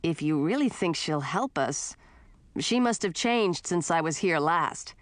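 A woman's voice: low-pitched, expressive, unhurried, no room sound. A woman voicing an eager, stubborn young man with a teasing, gallant streak in a storybook reading. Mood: curious.

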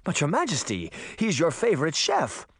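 A man's voice: fairly high pitch, huge pitch swings, normal speed, creaky, breathy edge, no room sound, studio quality, voice pushed up, exaggerated voice. A man voicing a skinny, nervous merman royal assistant in a storybook, deferential and fretful. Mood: worried. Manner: deferential, anxious.

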